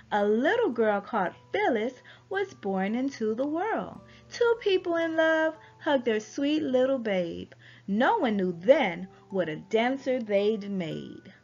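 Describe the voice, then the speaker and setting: High female voice, lively intonation, expressive children's storybook narrator, deliberate read-aloud, dull recording, background music. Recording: dull lo-fi audio with music behind.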